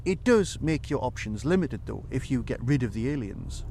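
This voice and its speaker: A man's voice: medium pitch, very animated, normal speed, gravelly, darkish tone, slight background noise. A man hosting a review podcast, talking in an emphatic, conversational way full of rhetorical questions. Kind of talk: enthusiastic spoken audio drama review.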